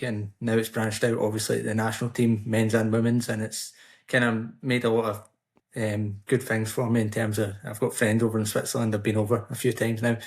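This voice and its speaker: Flat-voiced man, measured. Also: mid-range voice. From United Kingdom. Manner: measured, explanatory answers. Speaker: podcast guest, Swiss football commentator. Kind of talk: casual podcast interview.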